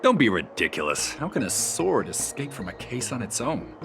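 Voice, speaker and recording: An actor voicing a skeptical adult man in a game cutscene, who explains legends in an animated way. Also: mid-range voice, moderate pace. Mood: explaining. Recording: game audio with clear music behind.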